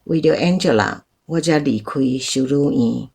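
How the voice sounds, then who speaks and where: An actress with a Taiwanese accent playing a calm, resigned older woman in a radio drama. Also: low-pitched, normal speed, vocal fry, slightly rough, full character voice. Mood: calm, resolute. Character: calm, resigned older woman, a former nun and lost sweetheart.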